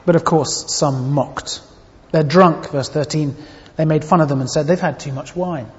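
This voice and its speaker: Male preacher, slightly high voice, earnest and expressive, long hall reverb. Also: normal speed, muffled recording. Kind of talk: sermon.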